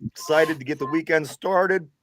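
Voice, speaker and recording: A man's voice: fairly high pitch, moderate pace, fairly bright tone. A man with a Pittsburgh accent hosting a casual sports podcast, rambling with restarts. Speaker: podcast host.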